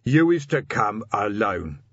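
A man voicing a surly troll gangster's messenger who mumbles slowly and sullenly in a storybook. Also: on the low side, sing-song, fairly bright tone, no echo, muffled recording, full character voice. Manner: slow, sullen, ungrammatical speech. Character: surly male troll gangster messenger, losing his swagger.

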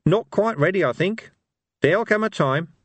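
Storybook role: male reader as wry older warrior woman, gentle, measured, lifted pitch, dry recording. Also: expressive, dry recording. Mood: wry, thoughtful. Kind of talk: audiobook character voice.

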